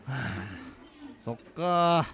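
A man's voice: on the high side, drawn-out words, a bit bright, clean tone. A man playing a laid-back, blunt young coworker and friend in a radio drama, talking casually and in slang. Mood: laid-back, dismissive. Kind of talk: amateur radio drama acting.

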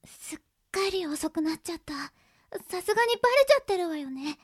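A woman playing an earnest, easily flustered young noble girl in an anime-style radio drama, with a girlish, expressive delivery. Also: very high-pitched, fast talker, dry recording, studio quality. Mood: worried, sighing. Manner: girlish, expressive, anxious stammering.

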